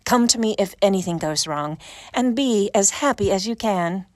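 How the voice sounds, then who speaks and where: Actress as kindly bustling female landlady, mid pitch, homely and reassuring, dry studio sound. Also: lively intonation, normal speed, darkish tone, dry recording, natural voice. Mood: motherly, bustling. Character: brisk, kindly, motherly woman running a boarding house. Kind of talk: audio drama acting.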